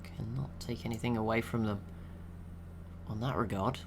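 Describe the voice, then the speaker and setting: Man, young adult, low voice, chatty gaming voice-over, faint background hum. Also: normal speed, vocal fry. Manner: relaxed, chatty, laughs to himself. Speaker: gaming channel host. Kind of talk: casual commentary over an AI-only strategy game.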